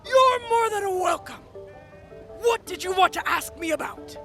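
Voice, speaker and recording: A woman playing a matter-of-fact military major being interviewed in an audio drama, measured and conversational. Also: extremely high pitch, lively intonation, over music, full character voice.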